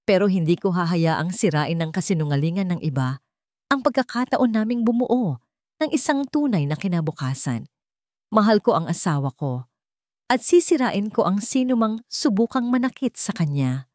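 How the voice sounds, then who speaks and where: A woman's voice: medium pitch, animated, normal speed, darkish tone, no room sound, studio quality, natural voice. A Filipino woman voicing a regretful, earnest male CEO in a romance audio story, speaking in a solemn confessional manner. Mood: resolute.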